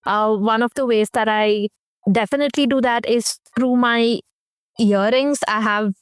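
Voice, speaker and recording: A woman's voice: a little high, fairly even intonation, measured pace, clean tone, no room sound, clean studio sound. A woman from India with an Indian accent, a communication professor speaking as a guest in a casual interview, thoughtful and hesitant, with frequent fillers.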